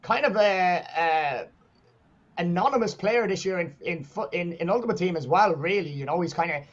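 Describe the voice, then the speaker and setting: A male esports commentator with a very high, strained voice calls the match at full tilt. His voice is going hoarse, and he erupts into shouts whenever a goal goes in. The audio is dull and lo-fi. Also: normal speed. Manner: excitable, shouting at goals. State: losing his voice. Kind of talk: play-by-play commentary.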